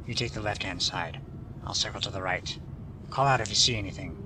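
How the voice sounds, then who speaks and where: A man's voice: lowish voice, brisk, background noise, close to an everyday voice. An actor playing an easygoing but jumpy spaceship watchman in an audio drama. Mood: drowsy, easygoing.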